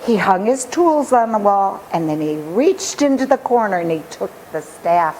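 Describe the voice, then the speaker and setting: A woman reading a children's story aloud with expressive, dramatic and deliberate phrasing. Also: mid-range voice, a little hiss or hum, poor audio.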